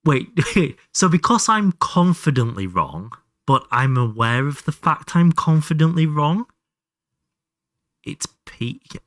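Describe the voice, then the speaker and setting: A man's voice: on the high side, sing-song, slow, fairly bright tone, no echo, studio quality. A man doing casual live game commentary and chatting to viewers, sweary and self-deprecating. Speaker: live streamer playing a video game.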